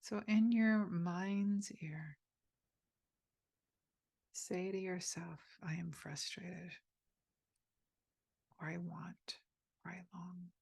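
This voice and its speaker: A woman leading a guided meditation, speaking softly and gently inviting the listener, with pauses between phrases. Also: on the low side, steady tone, vocal fry, breathy edge, no room sound. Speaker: meditation teacher.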